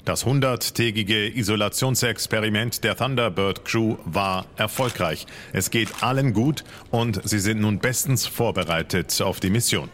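A man's voice: lowish voice, moderate pace, fairly bright tone, a little hiss or hum, lightly acted. An actor playing a formal, upbeat space agency spokesman making an announcement in an audio drama.

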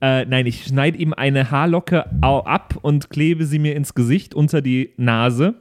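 A man's voice: mid-range voice, measured pace, no room sound, studio quality. A man chatting casually as a player in an improvised tabletop game.

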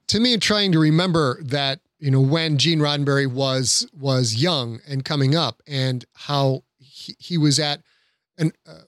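A man's voice: on the high side, measured pace, fairly bright tone, no echo, clean studio sound. A man speaking as a podcast guest, giving thoughtful conversational commentary.

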